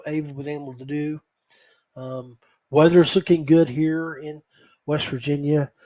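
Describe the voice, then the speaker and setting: An American man talks at a slow, unhurried pace, with a voice pitched a little high for a man. He sounds like a folksy podcast host chatting off the cuff, halting and rambling as he goes. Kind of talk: casual rambling solo podcast talk.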